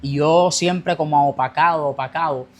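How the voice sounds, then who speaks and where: A man's voice: fairly high pitch, fairly even intonation, normal speed. A young man telling his personal faith testimony as a guest, earnest, speeding up as he recounts his past. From Colombia.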